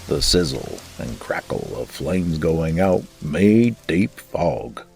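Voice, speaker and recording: A man's voice: very deep voice, sing-song, takes it slowly, gravelly, darkish tone, full character voice. A man voicing a big brother farm pony who tells a rhyming holiday story. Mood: calm storytelling.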